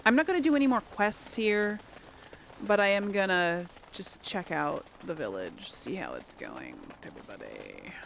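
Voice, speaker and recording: A woman's voice: on the low side, very animated, normal speed, creaky, a bit bright, a little hiss or hum. A woman giving casual, rambling game commentary to viewers, thinking aloud as she plays. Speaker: gaming host.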